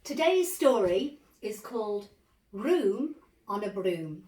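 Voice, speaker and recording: A woman reading a bedtime story aloud to young children in an animated, measured, dramatic way. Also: medium pitch. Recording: roomy echo, distant microphone.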